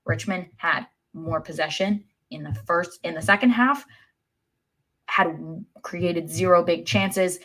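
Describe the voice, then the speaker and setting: A woman's voice: on the low side, a little slow, a bit bright, low-quality recording. A woman co-hosting a sports podcast, chatting casually with dry, sarcastic humor. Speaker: podcast co-host.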